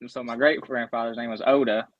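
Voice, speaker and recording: A young man with a Southern Kentucky drawl talks in a relaxed way, often stammering into his sentences. His voice sits in a middling pitch. The sound is dull and lo-fi, with a dry, close feel. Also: brisk, no room sound. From Central Kentucky. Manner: easygoing, stammers on restarts. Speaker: guest writer. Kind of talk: casual chat.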